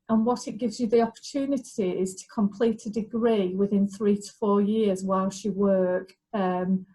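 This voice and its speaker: A woman explaining training routes in a measured, informational way, with some restarts and ums. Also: medium pitch, a little mellow, rough recording. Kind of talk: informational talk.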